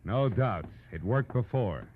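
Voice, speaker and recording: An actor playing a hard-boiled private detective in an old radio drama, clipped, sardonic and tough-talking. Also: male voice, lowish voice, animated, measured pace, a little hiss or hum. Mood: mocking. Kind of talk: 1940s-50s radio detective acting. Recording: dull vintage radio recording, faint music.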